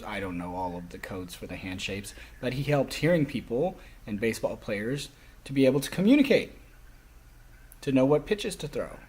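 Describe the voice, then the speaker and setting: A man speaking a lecture to a class, explaining things in halting, phrase-by-phrase delivery. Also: medium pitch, darkish tone, slightly rough.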